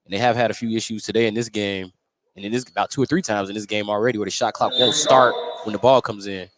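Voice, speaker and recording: A man's voice: lowish voice, steady tone, fast, fairly bright tone, no room sound. A man giving casual, informal color commentary on a live basketball game. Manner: informal, joking, chuckles often. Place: indoor basketball game, courtside broadcast.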